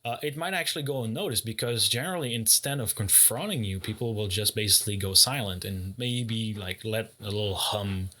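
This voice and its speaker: Man with a booming, bright voice. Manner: thoughtful, explains with many pauses and self-corrections. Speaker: martial arts vlogger. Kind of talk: casual explanatory talk to camera.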